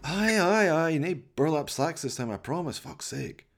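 A man's voice: a little high, animated, normal speed, vocal fry, voice pushed up. Man playing a scruffy sarcastic Scottish slacker. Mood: exasperated. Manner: sarcastic, coaxing, slangy. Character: Jones, scruffy, sweary, slouching Scottish pal of a chimp. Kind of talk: comic radio play dialogue.

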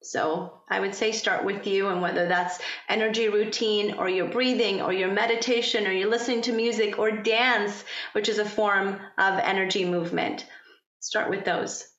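A woman whose first language is Farsi, a podcast guest talking in a thoughtful, gentle way and laughing easily. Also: mid-range voice, fairly even intonation, normal speed, reverberant, highs cut off. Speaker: podcast guest, parent coach. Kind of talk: casual interview chat.

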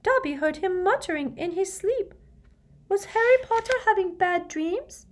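Lady doing a squeaky little male elf servant, super high, eager and grovelling, audiobook style. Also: lively intonation, moderate pace. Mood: timid, concerned. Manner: deferential, speaks of himself in third person.

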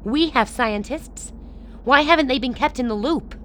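A woman's voice: high voice, normal speed, a bit bright, slight background noise. A woman acting in a radio drama as a hard, sardonic chairwoman who commands with blunt authority. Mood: bitter, challenging.

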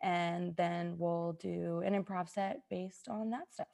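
A woman's voice: lowish voice, fairly even intonation, slow pace, gravelly, warm tone. A woman chatting casually with a group as she hosts a podcast. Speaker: podcast host.

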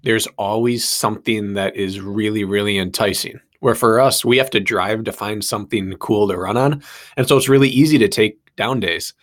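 A man's voice: lowish voice, moderate pace. A man hosting a podcast, chatting easily and telling stories to his guest. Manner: easygoing, storytelling, laughs along. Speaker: podcast host. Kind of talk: casual podcast interview.

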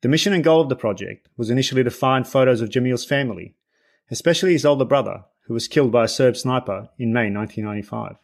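A man from Bosnia and Herzegovina, a native Bosnian speaker, hosting a podcast interview; he reads a measured introduction and then speaks with many hesitations. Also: medium pitch, creaky. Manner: measured, hesitant with many fillers when unscripted. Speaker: podcast host. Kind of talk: scripted guest introduction and interview.

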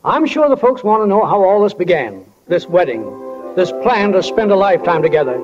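An actor playing a homespun, wistful stage manager narrating a 1940s radio drama, speaking directly and folksily to listeners. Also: male voice, high voice, very animated, a little fast. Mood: wistful, folksy. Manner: folksy, direct address to audience. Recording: dull 1940 radio recording with music.

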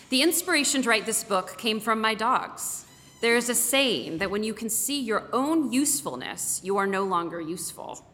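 A woman reads prose aloud from a book at a medium pitch. Her voice rings out in a big, reverberant space, with a long tail of echo after the words. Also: fairly bright tone. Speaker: guest reader. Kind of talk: read-aloud book excerpt. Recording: echoey hall with long reverb.

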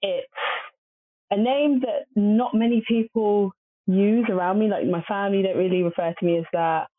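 A woman's voice: medium pitch, fairly even intonation, moderate pace, dry recording. Woman guest on a tinny, dry line. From family from southern Nigeria. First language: Obolo. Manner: hesitant, many fillers. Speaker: podcast guest. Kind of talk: casual interview chat. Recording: dry, phone-like lo-fi audio.

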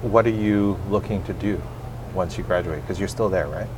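A man hosting a casual interview, chatty and curious, following up quickly with questions. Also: low voice. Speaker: podcast host. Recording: noisy recording.

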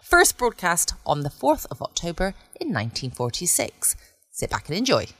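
A woman hosting a bedtime podcast, giving a relaxed late-night introduction. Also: on the low side, moderate pace. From United Kingdom. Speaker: podcast host. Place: at home.

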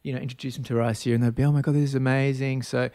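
An Australian man, likely in his thirties, talks casually as an interview guest, circling back with fillers and restarts. His voice has a gravelly, creaky edge. The recording is dry and close. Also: mid-range voice, level tone, racing, warm tone, no echo. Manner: rambling, many fillers and restarts. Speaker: guest, spirits brand founder.

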